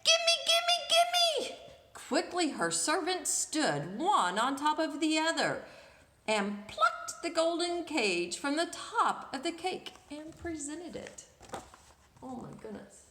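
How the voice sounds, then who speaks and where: A woman's voice: high voice, big pitch swings, slow pace, sharp tone. A woman reading a picture book aloud to children expressively, drawing out words and putting questions to her listeners. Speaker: storyteller, children's read-aloud host. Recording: echoey room.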